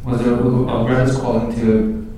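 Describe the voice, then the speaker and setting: A man whose first language is Hebrew tells his story hesitantly, restarting sentences as he goes. His voice sits at an ordinary middle pitch. It sounds far from the mic in a very echoey room, with faint noise underneath. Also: little pitch movement, slow. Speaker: co-host and Chabad emissary. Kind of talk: personal storytelling in a live interview. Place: live recording before an audience.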